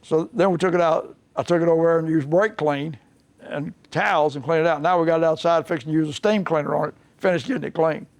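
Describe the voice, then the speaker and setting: A man's voice: on the high side, fairly even intonation, fast, no room sound. An older man with a Southern US accent, a mechanic explaining a restoration job in a plainspoken, folksy way. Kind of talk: unscripted workshop explanation. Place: workshop.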